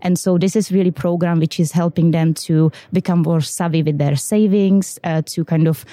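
Low-voiced Czech woman, dry sound. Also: steady tone, moderate pace, mellow, no room sound. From Czech Republic. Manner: hesitant, many fillers, upbeat. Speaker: guest, quality systems manager. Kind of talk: podcast interview.

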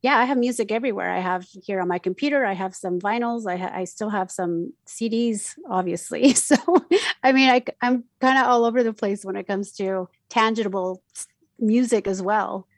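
A woman's voice: medium pitch, moderate pace, no room sound. Casting: middle-aged radio DJ woman, Mexican Spanish accent, chatty, fillers and restarts, dry close sound. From Mexico City. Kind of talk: casual interview answers.

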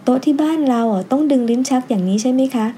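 A woman voicing an excitable, chatty, innocent little schoolgirl in a storybook, with an eager, polite, childlike way of talking. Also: high voice, fast, slight background noise. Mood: excited.

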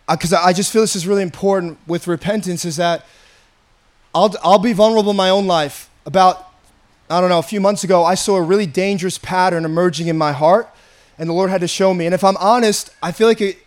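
A pastor with a raspy, slightly hoarse voice, preaching an earnest, conversational sermon and often repeating himself. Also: male voice, sits high.

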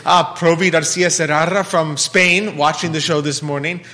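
Bright-voiced man hosting, fairly high. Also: steady tone, moderate pace, in a large hall. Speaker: talk show host. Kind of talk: bilingual interview chat.

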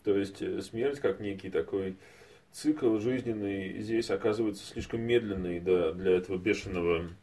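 A man's voice: fairly low pitch, normal speed, mellow, husky. A man co-hosting a podcast in casual discussion, hesitant and searching for words as he talks. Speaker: podcast co-host. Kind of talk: casual discussion of animated films.

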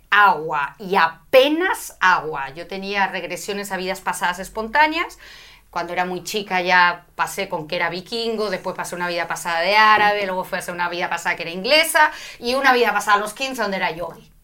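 A middle-aged woman from Spain, telling her story as an interview guest with an animated, spiritual way of talking. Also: mid-range voice, brisk. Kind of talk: conversational interview.

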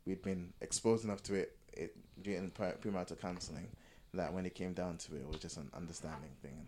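A man's voice: deep voice, steady tone, fast, dark tone, slight background noise. A young man chatting casually and candidly as a podcast co-host, repeating phrases as he explains. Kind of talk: casual, candid group chat.

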